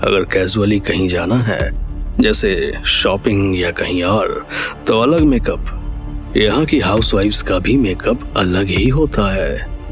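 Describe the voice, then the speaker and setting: A man playing a shrewd, commanding senior business partner in an audio drama, assertive and sardonic as he lectures. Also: fairly low pitch. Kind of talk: Hindi audio drama acting.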